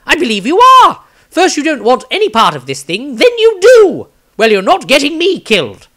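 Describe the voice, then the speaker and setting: A man voicing an exasperated, indignant male adventurer in a fantasy audio story, loud and incredulous. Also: very high voice, wildly swooping pitch, moderate pace, pitched above the performer's own voice, heavily acted.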